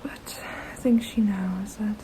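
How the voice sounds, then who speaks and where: Woman acting as a motherly vampire, ASMR roleplay, soft breathy whisper, slow, fairly even pitch, protective, casual, hesitant. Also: slight background noise, natural voice, poor audio. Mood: soothing. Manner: hesitant, casual, soothing, unhurried.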